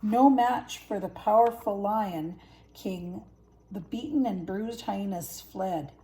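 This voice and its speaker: A woman's voice: mid-range voice, slow pace, warm tone, poor audio. An older woman, a grandmother, narrating a children's story. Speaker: grandmother reading stories.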